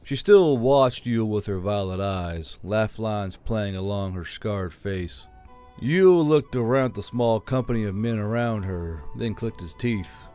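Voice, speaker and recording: A man's voice: on the low side, animated, unhurried, warm tone, faint music behind. A man reading scripted fiction as an audiobook narrator.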